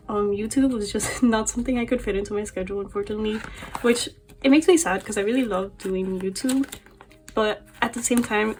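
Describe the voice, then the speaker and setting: A young woman chats casually to her camera, rambling with plenty of fillers and little laughs. Her voice carries some fry and sounds slightly off from allergies. The pitch sits in a middle range for a woman. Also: a little hiss or hum. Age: young adult. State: voice a bit off from allergies. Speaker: collector vlogger.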